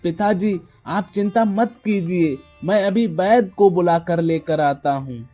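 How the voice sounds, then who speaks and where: A woman's voice: lowish voice, measured pace, mellow, faint music behind, voice pushed down. A woman voicing a humble, dejected village man, an earnest elder brother, in a Hindi cartoon moral story. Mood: worried, pleading. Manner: earnest, pleading.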